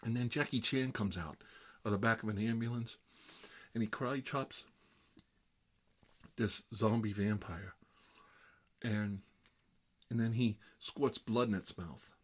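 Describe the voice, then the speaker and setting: A man with an American accent playing a chatty, hapless fellow who lives with his mother, rambling in folksy, opinionated small talk. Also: on the low side. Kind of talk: improvised roleplay monologue.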